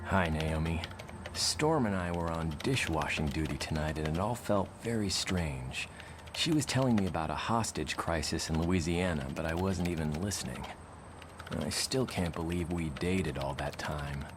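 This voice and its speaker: A man's voice: very deep voice, normal speed, background noise. A man playing an earnest, lovestruck intellectual mutant in a motion comic, articulate and confiding. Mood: wistful. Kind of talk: motion comic letter narration.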